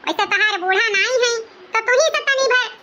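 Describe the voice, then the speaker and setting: A woman's voice: extremely high pitch, brisk, bright, forceful tone. Woman doing a gossipy village aunt with an Awadhi accent. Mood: chatty. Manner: friendly, gossipy. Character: chatty older village aunt.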